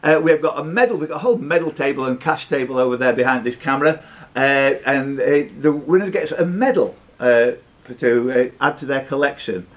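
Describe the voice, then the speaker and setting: A man's voice: medium pitch, fairly quick. A man in his fifties hosting a live pub quiz, reading out questions with jokey, teasing banter. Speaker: quiz master. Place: social club bar room.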